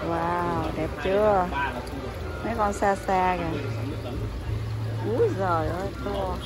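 A woman's voice: fairly low pitch, fairly even intonation, slow pace, over loud music. A woman, chatting casually on a phone camera as she walks through a market and town streets, pointing things out. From Vietnam. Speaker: vlogger. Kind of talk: casual travel vlog narration. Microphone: phone camera held while walking. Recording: phone audio with loud street noise. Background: loud street traffic and motorbikes.

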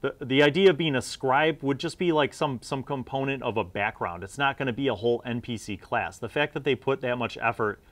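A middle-aged man hosts a casual review in an ordinary mid-pitched voice. He talks off the cuff in a conversational, rambling way, thinking aloud as he moves from point to point. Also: fairly quick, few pauses, a bit bright. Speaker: video host reviewing old gaming magazines. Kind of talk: casual unscripted review commentary.

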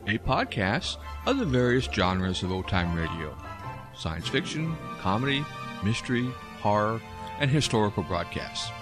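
A man hosting a podcast, delivering a scripted intro and appeal in a deliberate, earnest announcing manner. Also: lowish voice, very animated, music in the background. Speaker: podcast host and internet radio operator.

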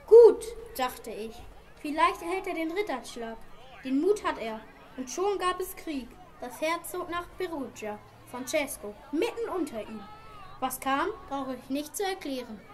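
A woman's voice: sits high, animated, measured pace, a little mellow. A woman playing a proud, indignant wealthy merchant father in an amateur radio play, declaiming emphatically and with grievance. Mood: reminiscing.

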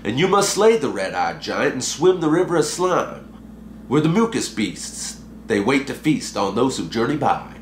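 A man's voice: mid-range voice, very animated, normal speed, echoey room, slight background noise, lightly acted. A man voicing a weary, wry old mountaintop guru in a recited story poem. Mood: ominous, conceding.

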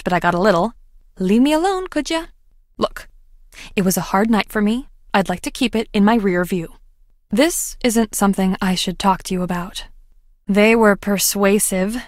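A woman's voice: mid-range voice, no room sound, studio quality, natural voice. An actress in a video game playing a guarded, plainspoken young woman scientist from a farm, with homespun turns of phrase.

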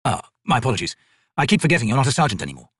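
Young noble-born captain, read by a male narrator, formal, restrained, slightly husky, fantasy audiobook. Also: mid-range voice, very animated, racing, a bit bright, no echo, studio quality. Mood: clipped.

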